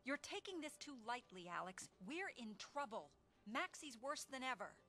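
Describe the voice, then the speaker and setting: An actress playing a worried, wry woman devoted to a deluded boss in an animated series, with a sardonic, world-weary manner. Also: sits high, moderate pace, exaggerated voice. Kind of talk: animated superhero series acting.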